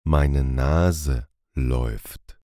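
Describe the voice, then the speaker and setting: A man reading short phrases calmly and deliberately for a language lesson, repeating each one. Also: very deep voice, no room sound, clean studio sound. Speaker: language teacher.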